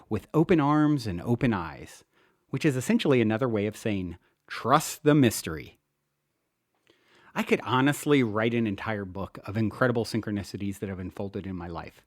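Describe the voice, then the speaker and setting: A man reads his own self-help book aloud as a narrator, with an earnest, expressive delivery. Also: mid-range voice, no room sound, studio quality. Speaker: author and show host reading own book. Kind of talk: audiobook narration, first-person self-help.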